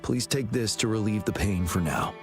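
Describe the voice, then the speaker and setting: Man doing calm commander, low voice, measured, composed, game music behind. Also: lightly acted. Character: calm, commanding male general.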